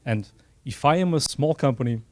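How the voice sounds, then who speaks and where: A man's voice: medium pitch, animated, moderate pace, no room sound. A middle-aged man from Portugal with a Portuguese accent, giving an unscripted, earnest speech at a dinner in a small room, with self-deprecating asides. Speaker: event sponsor speaker. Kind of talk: informal speech at a dinner event.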